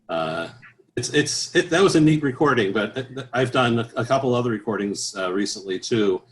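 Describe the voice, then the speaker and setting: A man with a fairly low speaking voice talks in an easygoing, halting way. He restarts often and fills pauses with soft sounds while he explains things. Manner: easygoing, many restarts and "uh"s, chuckles at his own stories. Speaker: choral bass singer, guest. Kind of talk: relaxed interview answers.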